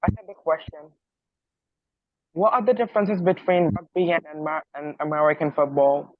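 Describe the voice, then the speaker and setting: A man's voice: a little high, steady tone, normal speed, dry recording. A young man student from Bangladesh asking polite, direct questions on a video call. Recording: tinny phone-like call audio.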